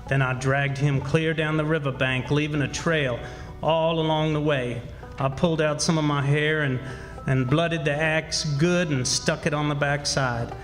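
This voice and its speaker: A man's voice: on the high side, a bit bright, lightly acted. A man playing a wily runaway country boy who tells his own adventures in rustic speech for a radio drama. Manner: rustic ungrammatical storytelling. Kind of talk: first-person radio drama narration. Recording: live stage hall with reverb.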